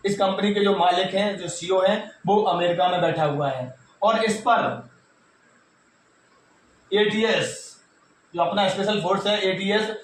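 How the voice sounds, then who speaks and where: A man's voice: sits high, a little slow, pauses often. North indian guy doing exam update for students, repeats himself, really echoey, sounds far from the mic. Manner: repetitive, addresses listeners directly. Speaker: YouTube exam news presenter. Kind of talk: informal explanatory update to students. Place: reverberant indoor room. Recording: very echoey room, distant mic, faint noise.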